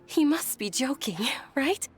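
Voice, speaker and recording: A woman's voice: high-pitched, lively intonation, unhurried, darkish tone, faint music behind. A woman voicing a timid, polite young noble lady in a video game, anxious and hesitant, prone to stammering. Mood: nervous.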